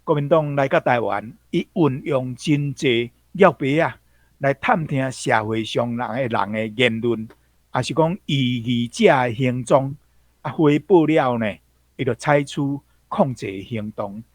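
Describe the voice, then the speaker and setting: A man's voice: mid-range voice, a little slow, dry recording. A man with a Taiwanese accent giving an explanatory talk as a guest commentator, going step by step. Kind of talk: explanatory talk on words and history.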